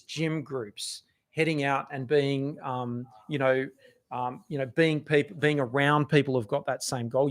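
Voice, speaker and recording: A middle-aged Australian man with a voice worn hoarse, giving chatty, encouraging advice on a live video talk, with many restarts. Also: mid-range voice. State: losing his voice. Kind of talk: casual motivational live talk.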